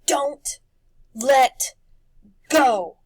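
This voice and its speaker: A woman voicing an eager, nervous young boy sidekick in a storybook, excitable and anxious. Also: high-pitched, extremely slow, husky, voice pushed up, heavily acted. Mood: panicked.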